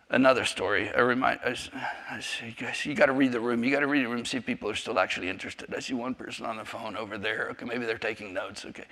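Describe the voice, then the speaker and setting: A man's voice: mid-range voice, sharp tone. A man in his fifties from South Africa, a native Afrikaans speaker with an American accent, giving a personal testimony at a church class in a rambling, self-interrupting, jokey way. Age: 50s.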